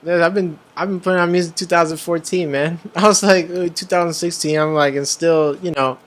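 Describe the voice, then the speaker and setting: Guy musician being interviewed, voice a bit on the high side, laid-back casual chat, agreeable. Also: fairly even intonation, brisk. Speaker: interview guest, music artist.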